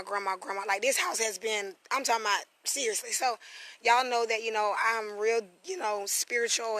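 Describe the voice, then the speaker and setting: A hoarse woman from South Carolina, chatting loosely on a live-stream vlog outdoors on family land, greeting viewers by name as she goes. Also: fairly high pitch, sharp tone, no room sound. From South Carolina, USA. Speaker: spiritual reader and vlogger. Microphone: phone camera.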